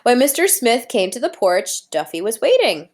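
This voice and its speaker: A woman's voice: a little high, sing-song, moderate pace, darkish tone. A woman teacher reading a storybook aloud to young children, talking to them directly and posing questions as she guides them along. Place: indoors at a computer.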